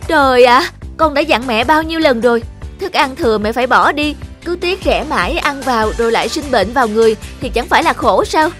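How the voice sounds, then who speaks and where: A woman's voice: sits high, animated, a little fast, fairly bright tone. Fretful young mother acted by a woman, music behind. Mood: angry, upset. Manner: fretful, plaintive, scolding. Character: anxious young new mother, exasperated with her old-fashioned mother. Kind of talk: cartoon dialogue.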